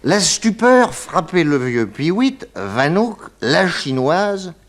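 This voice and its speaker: A man's voice: on the high side, big pitch swings, slow pace. An actor playing a detective who recounts his case with wry relish in a 1960s French radio drama. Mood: wry recounting.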